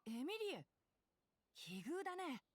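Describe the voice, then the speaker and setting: A woman's voice: sits high, sing-song, normal speed. A woman playing a friendly, considerate acquaintance in a video game, speaking casually. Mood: friendly concern. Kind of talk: scripted game dialogue.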